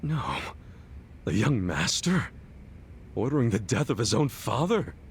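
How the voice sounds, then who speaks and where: An actor playing a loyal, earnest middle-aged ex-yakuza hero in a video game, talking in a blunt, heartfelt, streetwise way. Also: male voice, medium pitch, very animated, a little slow, a little hiss or hum. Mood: subdued, firm. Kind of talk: video game cutscene dub acting.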